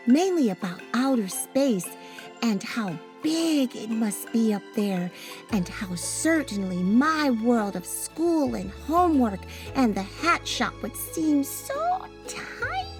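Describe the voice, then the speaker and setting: Expressive woman reading a storybook over music. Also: fairly high pitch. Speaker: storybook reader. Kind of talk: expressive scripted read-aloud of a first-person children's story. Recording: children's tinkling music behind the voice. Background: tinkling children's music.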